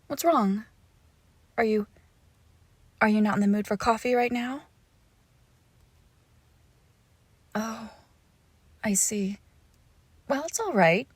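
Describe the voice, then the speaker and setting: A woman playing a lovesick, possessive young witch in an intimate roleplay audio, cooing haltingly and tenderly with a creepy edge, often dropping into whispers. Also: a little high, husky, no room sound. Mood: coaxing. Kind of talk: intimate roleplay audio acting, close to the listener.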